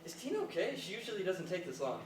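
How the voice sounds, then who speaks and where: Earnest, awkward young man an actor's stage role, lively intonation, literal-minded comedy delivery; distant, noisy room sound. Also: high voice, fast talker. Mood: earnest, correcting. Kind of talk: naturalistic stage comedy dialogue. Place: live staged reading in a reverberant room. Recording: echoey, noisy room from far off.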